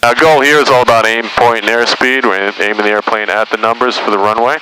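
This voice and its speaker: A man's voice: medium pitch, fairly even intonation, fast talker, bright, forceful tone, clean tone. A man working as a flight instructor, explaining procedures step by step in an instructive way inside a small airplane. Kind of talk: calm step-by-step cockpit instruction. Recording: very noisy light-aircraft cockpit, distant at times.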